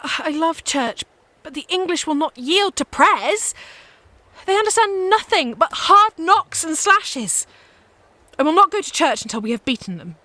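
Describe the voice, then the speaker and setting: A fearless young woman soldier-saint in a radio drama. Her voice is high and bright and swings widely in pitch. She declaims with earnest, fervent conviction. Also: very animated, a little slow, dry recording, full character voice. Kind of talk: radio play acting.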